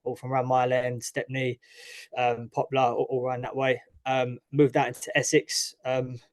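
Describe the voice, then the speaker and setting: A man's voice: mid-range voice, completely flat delivery, normal speed. A young man with an East London accent, a fighter talking casually as an interview guest, full of restarts and fillers. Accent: East London English. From East London, England. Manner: chatty, frequent restarts and "obviously". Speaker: guest, mixed martial arts fighter.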